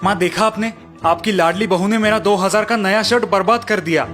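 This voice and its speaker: A man's voice: sits high, brisk, fairly bright tone. A man voicing an exasperated, sarcastic young married son who complains in a cartoon story. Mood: complaining. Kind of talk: Hindi cartoon story acting. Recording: music bed behind the voice.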